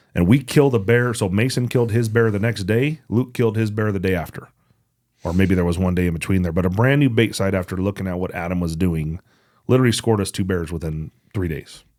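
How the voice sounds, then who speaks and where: Low-voiced man in casual podcast chat. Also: a little mellow. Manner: informal, folksy phrasing, swears casually. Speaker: podcast co-host.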